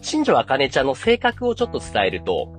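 A man hosting a casual podcast, explaining a story in an animated way and drawing out key phrases for suspense. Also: mid-range voice, fairly quick, soft background music. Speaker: podcast host explaining an anime.

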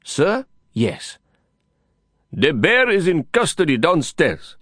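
A man's voice: on the high side, sing-song, slow, a bit bright, no room sound, studio quality, pitched above the performer's own voice, exaggerated voice. A man voicing a proud, mocking jail watchman who answers with a sneer.

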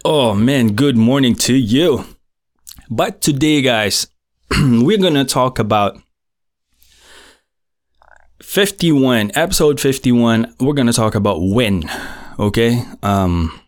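A middle-aged Filipino man, a native Tagalog speaker, hosting his own podcast in relaxed, slangy chat with plenty of fillers. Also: mid-range voice, slow, long pauses, no room sound, clean studio sound. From Philippines. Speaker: podcast host. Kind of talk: casual solo podcast chat.

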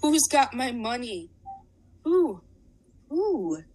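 A man's voice: extremely high pitch, very animated, darkish tone, voice pushed up, full character voice. A man doing a wailing ghost woman. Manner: drawn-out, moaning cries. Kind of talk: storybook read-aloud.

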